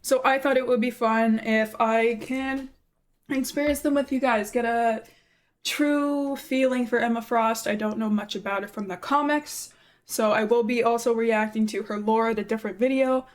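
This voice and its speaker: A young woman hosting a casual game reaction video, chatty, excitable and playful. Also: on the high side, normal speed. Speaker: YouTube reaction host.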